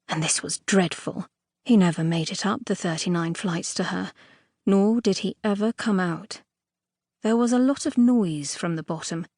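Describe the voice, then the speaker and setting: A woman reading a storybook fairy tale as a scripted audiobook narration, expressively and a little primly, with dramatic emphasis and pauses. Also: mid-range voice, a little mellow, dry recording. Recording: dry close studio audiobook recording.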